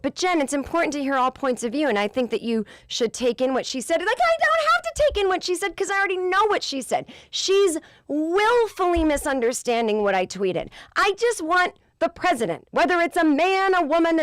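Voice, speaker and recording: A woman's voice: big pitch swings, brisk, fairly bright tone, dry recording, clean studio sound. Exasperated woman host ranting, profane. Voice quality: low voice. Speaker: podcast host. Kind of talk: casual comedic monologue.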